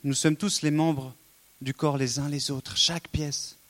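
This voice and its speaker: A man's voice: a little high, fairly even intonation, unhurried, a bit bright. A man preaching a sermon, earnest and exhorting, with frequent rhetorical questions to the congregation.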